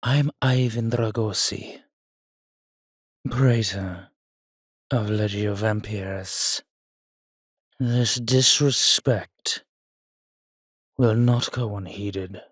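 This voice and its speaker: An arrogant, menacing vampire warrior, acted by a man in an audio roleplay, speaking low and unhurried. He is theatrical and haughty, suave with his companion, and the sound is close and dry. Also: slightly rough, no room sound, exaggerated voice. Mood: haughty outrage. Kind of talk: dramatic roleplay monologue, addressing a listener.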